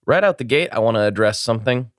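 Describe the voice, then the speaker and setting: A man's voice: medium pitch, expressive, fast talker, fairly bright tone, no room sound, clean studio sound. A congested man hosting a casual podcast, rambling and apologetic as he gives updates and greets a guest. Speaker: podcast host.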